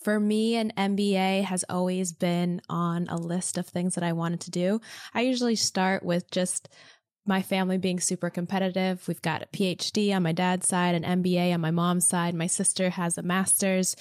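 A woman's voice: on the low side, level tone, moderate pace, darkish tone, no echo, clean studio sound. A woman in her thirties from upstate New York, answering interview questions in a friendly, self-assured way. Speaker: part-time MBA student guest.

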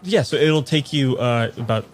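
A man playing a mild, apologetic agency staffer in an improvised audio drama, hesitant and awkwardly deadpan. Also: mid-range voice, normal speed, slight background noise, natural voice. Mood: helpful, awkward.

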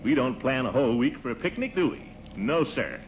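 A man's voice: medium pitch, sing-song, fairly quick, natural voice. An actor playing a blustery, eager, pompous middle-aged American man in a 1940s radio comedy. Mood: boastful, cheery. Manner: blustery, exclaiming, hemming. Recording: muffled, noisy 1940s radio recording.

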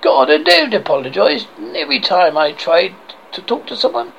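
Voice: mid-range voice, sing-song, normal speed, vocal fry, fairly bright tone, dull audio, slight background noise, heavily acted. A person voicing an irritable, commanding male God who sounds exasperated and imperious, in comic script reading.

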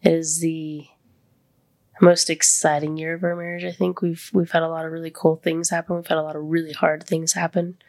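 An American woman hosting a podcast in casual, candid chat, with a congested voice that sits deeper than her usual. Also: level tone, a little fast, darkish tone. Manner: candid, conversational. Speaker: podcast host.

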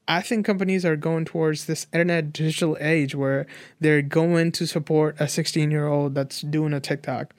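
A man's voice: on the high side, no echo, clean studio sound. A man in his twenties from Nepal, giving his opinions in a casual, earnest, rambling conversational way as a guest. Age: 20s. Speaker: interview guest.

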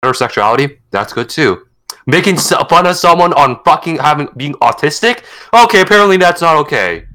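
A man's voice: fairly high pitch, big pitch swings, brisk, bright, forceful tone. A young man co-hosting a casual recap, blunt and sarcastic with lots of swearing. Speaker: podcast co-host.

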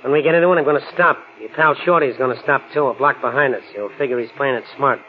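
Actor as fast-talking, menacing radio gangster. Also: male voice, medium pitch, a little hiss or hum, lightly acted. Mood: smug, threatening. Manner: tough slangy talk, threatening. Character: menacing, sneering male gangster, sure of himself. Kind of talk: 1940s radio acting. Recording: tinny, narrow old radio recording.

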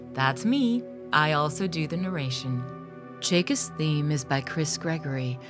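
A woman narrator reading scripted podcast credits and announcements. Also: sits low. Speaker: writer, director and narrator. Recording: music bed under the voice.

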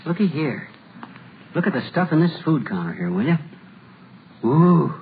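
Folksy, excitable high-pitched husband, old radio. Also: male voice, normal speed. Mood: excited, scheming. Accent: American. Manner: folksy slangy exclamations, chuckling at own wit. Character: folksy boastful husband, cracking corny jokes, scheming, excitable. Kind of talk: 1950s American radio comedy acting. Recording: muffled, noisy old radio recording.

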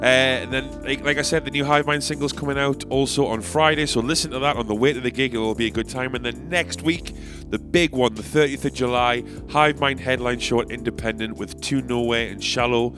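A man's voice: mid-range voice, steady tone, brisk, music in the background. Rambling, sweary man with stuffy nose. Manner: chatty, rambling, sweary, self-deprecating. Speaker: podcast host, comedian and musician. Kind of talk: casual rambling monologue.

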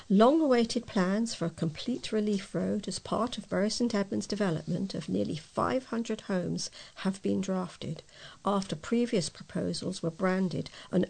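A woman reads local news as a volunteer, in a medium-pitched voice with a gravelly, creaky edge. Her delivery is informal and unpolished rather than broadcast-slick. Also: darkish tone. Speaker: volunteer newsreader. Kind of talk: reading local news aloud.

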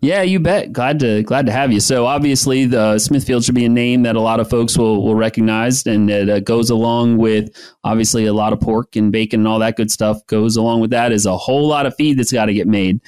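A man's voice: medium pitch, brisk, few pauses, darkish tone, smooth, no room sound. A man hosts a podcast interview in a mid-range voice. His questions are loose and rambling, with repeated words. The recording is dry and close. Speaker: podcast host. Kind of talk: casual interview hosting.

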